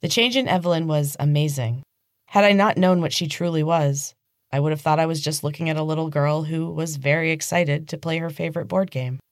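A woman's voice: low-pitched, fairly quick, no room sound, clean studio sound. A woman reading fantasy fiction aloud as a dramatic, scripted audiobook narration. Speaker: podcast host and author reading own novel.

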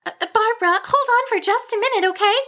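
Woman voicing a high, bright little storybook sheep. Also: fast talker. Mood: eager, helpful. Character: small cheerful sweet female sheep, earnest and caring.

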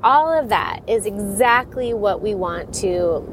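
A woman's voice: mid-range voice, lively intonation, a little slow. A middle-aged woman hosting her own podcast, stuffy from a cold, talking in a rambling, chatty way and often laughing. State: congested from a cold. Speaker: podcast host and divorce coach. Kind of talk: casual solo podcast talk. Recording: audible background noise.

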